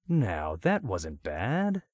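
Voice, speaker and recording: A man's voice: fairly low pitch, huge pitch swings, unhurried, no room sound, clean studio sound, full character voice. A man voicing a gleeful, sadistic male vigilante who taunts his captive and laughs often.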